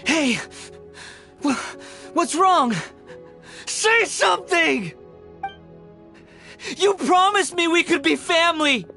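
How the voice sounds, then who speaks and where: A woman's voice: very high-pitched, animated, slow, vocal fry, long pauses, bright, forceful tone, faint music behind, full character voice. A woman voicing an anguished, innocent young male puppet in a video game. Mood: panicked.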